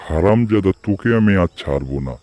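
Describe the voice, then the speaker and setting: A man's voice: low-pitched, expressive, a little fast, noisy background. A man voicing a foolish, hot-tempered tiger uncle in a cartoon fable, blustering in manner. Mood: furious. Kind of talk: animated fable character voice acting.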